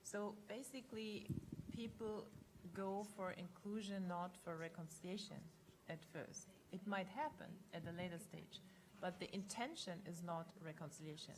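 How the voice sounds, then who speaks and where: A woman's voice: on the low side, normal speed, noisy background. A woman speaking as a panelist in an academic discussion, reasoning things through analytically and checking in with short tag questions.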